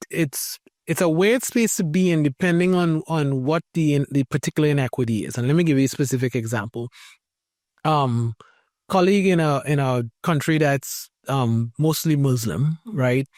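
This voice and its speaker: A man's voice: on the high side, a little mellow, no echo, clean studio sound. A man from Trinidad hosting a podcast interview, speaking thoughtfully with hesitant restarts. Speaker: podcast host. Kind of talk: conversational interview.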